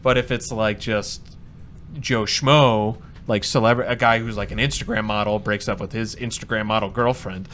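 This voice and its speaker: A man's voice: on the low side, fairly even intonation, moderate pace, a bit bright. A man co-hosting a podcast in casual, joking banter, prone to acting out imagined bits of dialogue. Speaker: podcast co-host. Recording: noticeable background noise, room ambience.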